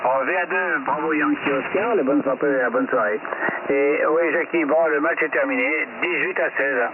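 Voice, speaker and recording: A man's voice: on the high side, normal speed. A man from France chatting colloquially as an amateur radio operator, often repeating short phrases. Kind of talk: casual ham radio chat. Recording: narrow noisy radio transmission.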